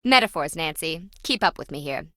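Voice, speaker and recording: A woman's voice: sits low, lively intonation, brisk, bright, forceful tone, dry recording, studio quality. A woman playing a brash, self-important treasure hunter in a video game, sarcastic and slangy, prone to flippant, dramatic complaining. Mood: resentful, emphatic. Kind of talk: video game character dialogue.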